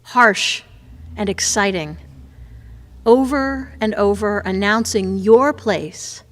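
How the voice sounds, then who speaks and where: Slow woman reciting in a reverberant hall. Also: mid-range voice. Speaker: poetry reader. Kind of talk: slow, measured poem recitation. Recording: long reverb of a church hall.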